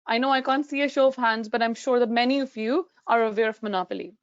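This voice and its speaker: A woman from Pakistan with a Pakistani accent, giving an expressive, conversational webinar talk straight to her audience over a muffled video call. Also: on the high side, fast, clean tone, dry recording. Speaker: guest speaker, council digital officer. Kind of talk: live webinar presentation.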